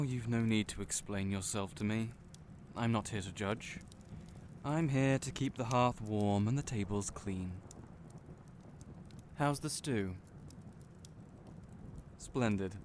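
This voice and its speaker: A man's voice: lowish voice, a little mellow, close to an everyday voice. A man playing a friendly, teasing young innkeeper in a fantasy audio roleplay, chatty and playful, speaking right to the listener. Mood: shrewd, playful. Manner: chatty, playful, genial storyteller. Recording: noisy storm and fire ambience behind. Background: storm and crackling fire ambience.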